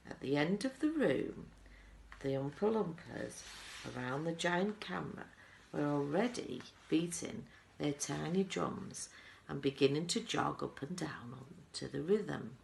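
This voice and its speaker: A woman reading a children's book aloud in an expressive storytelling style, as a teaching assistant would for pupils. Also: deep voice, unhurried, vocal fry, a little hiss or hum.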